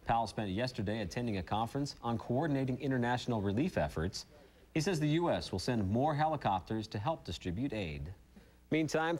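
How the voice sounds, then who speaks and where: A man's voice: medium pitch, a little mellow. A man with an American accent anchoring a TV morning newscast, reading news and chatting informally.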